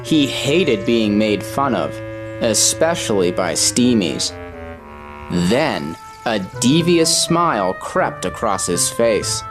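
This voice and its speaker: Storyteller, male: animated scripted narration of a children's tale, music behind the voice. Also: medium pitch, takes it slowly, rough recording.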